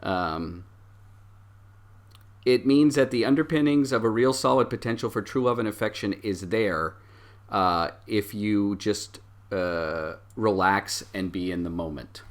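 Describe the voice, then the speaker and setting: A man narrating an improvised tabletop game as game master, halting and backtracking mid-sentence. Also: on the low side.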